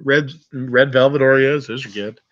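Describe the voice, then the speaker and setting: A man co-hosting a live food review stream, blunt and joking, with salty language, often clearing his throat. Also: mid-range voice, fairly even intonation, normal speed, fairly bright tone. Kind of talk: casual live-stream chat. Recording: dull lo-fi mic.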